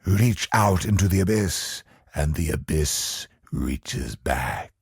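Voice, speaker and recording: Slow, husky, ominous godlike villain. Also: male voice, sits low, expressive, dry recording, studio quality, full character voice. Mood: brooding, foreboding. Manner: theatrical, slow, ominous, dropping to hushed phrase endings. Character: ancient brooding godlike cosmic villain, male, contemptuous, grim. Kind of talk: scripted video game villain monologue.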